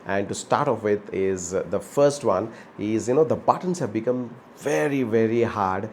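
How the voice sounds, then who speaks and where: A man's voice: on the low side, moderate pace, a little hiss or hum. A man with an Indian accent giving a conversational product review as a vlog host, repeating words for emphasis and often adding "you know". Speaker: host of a motorbike gear review channel.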